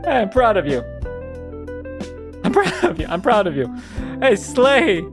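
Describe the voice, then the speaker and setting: A young man streaming a casual radio-style chat, reading out listener submissions, laughing often and reacting in an animated way. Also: unhurried, over music. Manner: animated, laughs often, jumps high when excited.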